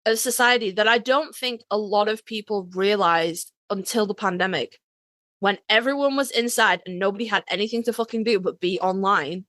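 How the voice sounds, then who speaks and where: A woman's voice: mid-range voice, moderate pace, fairly bright tone, no room sound. A woman chatting casually as a podcast host, emphatic and swearing freely. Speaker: author.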